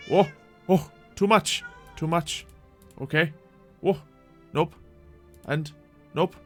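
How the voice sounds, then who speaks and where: A man's voice: a little high, fairly bright tone. A man doing live gaming stream commentary with playful roleplay, rambling along in a playful, mock-grandiose way. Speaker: game streamer.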